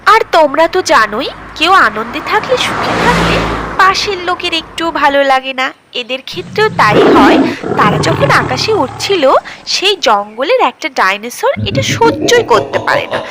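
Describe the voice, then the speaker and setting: A woman narrating an animated children's story in a dramatic, expressive storytelling manner. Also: high voice, normal speed. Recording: clearly noisy background.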